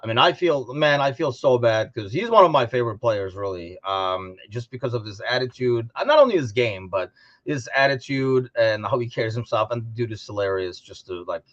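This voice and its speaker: A man co-hosting a casual sports podcast, talking informally and thinking out loud as he discusses. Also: medium pitch, animated, brisk, muffled recording. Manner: informal, conversational, thinking aloud. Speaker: podcast co-host.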